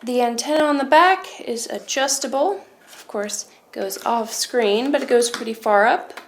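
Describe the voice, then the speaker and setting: Higher-voiced woman demonstrating a product. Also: unhurried. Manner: explanatory, step-by-step. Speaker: product review presenter.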